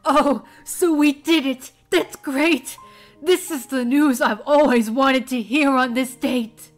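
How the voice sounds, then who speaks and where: A woman playing a calm, reassuring zebra healer with a husky voice, who speaks in rhyme. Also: high-pitched, takes it slowly, soft background music. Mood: relieved.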